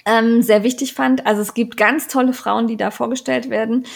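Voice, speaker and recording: Gravelly-voiced woman podcast co-host. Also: on the high side, fairly even intonation, a little fast. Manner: chatty, many fillers and restarts. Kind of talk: casual podcast chat.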